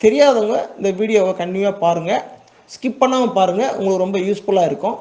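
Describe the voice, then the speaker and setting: Fast, high-voiced Tamil Nadu man explaining. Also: darkish tone. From Tamil Nadu, India. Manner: conversational, checks in with listeners, repeats words for emphasis. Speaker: export business owner giving a tutorial. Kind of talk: informal step-by-step tutorial narration.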